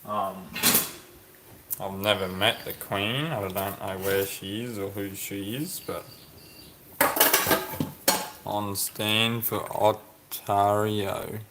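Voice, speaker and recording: Man hosting a livestream chat, slow and musing, noisy background with dishes. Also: on the low side, level tone. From Australia. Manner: slow, musing, reads comments aloud and ponders. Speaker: livestream host reading chat.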